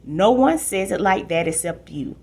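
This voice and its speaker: A woman's voice: mid-range voice, animated, normal speed, vocal fry, darkish tone, husky. A woman reading a storybook as a small nine-year-old boy, a pleading, sulky and teasing little brother.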